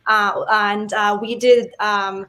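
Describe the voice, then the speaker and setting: A woman actor whose first language is Gujarati, raised in Australia, answering interview questions in a thoughtful, measured way. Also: medium pitch, level tone, a bit bright.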